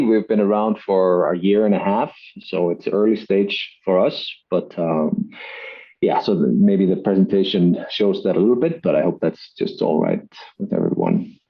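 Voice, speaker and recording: A man from Iceland, presenting his work on a podcast in a relaxed, self-deprecating way with many fillers. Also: sits low, normal speed, husky, muffled recording. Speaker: guest, acoustics company head.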